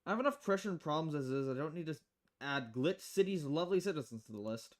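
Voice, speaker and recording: A man's voice: on the high side, animated, quick pace, close to an everyday voice. A man voicing a level-headed, wry bartender in a visual novel, speaking in a restrained, polite way. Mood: dry, calm.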